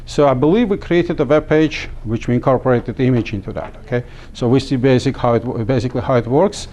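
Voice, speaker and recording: A middle-aged man from Armenia teaching a class, talking haltingly with many fillers and restarts. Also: mid-range voice, darkish tone, a little hiss or hum. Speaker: instructor. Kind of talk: classroom lecture. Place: small classroom.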